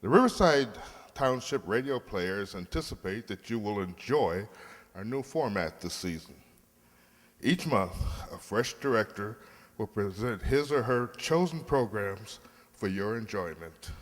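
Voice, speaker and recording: A man hosting, reading a scripted introduction to a radio play in a measured, formal way. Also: fairly low pitch. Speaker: host. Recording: reverberant hall sound.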